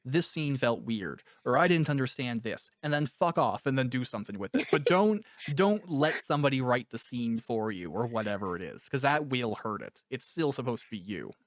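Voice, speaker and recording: A man's voice: medium pitch, lively intonation, moderate pace, hardly any pauses, no echo. Male interviewer, mid-range voice, dry recording. Loose, rambling, self-deprecating, blunt conversational delivery. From Canada. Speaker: interviewer and author. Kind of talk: casual interview chat.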